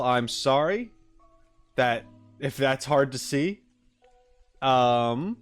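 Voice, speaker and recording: A man live streaming, talking casually and thinking aloud in an easygoing, rambling way. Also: on the high side. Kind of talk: casual livestream chat. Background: background music.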